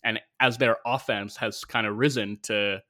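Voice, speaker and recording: A man's voice: lowish voice, steady tone, normal speed, pushed and bright. A man co-hosting a sports podcast, chatting casually in a teasing, emphatic and opinionated way. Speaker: podcast co-host.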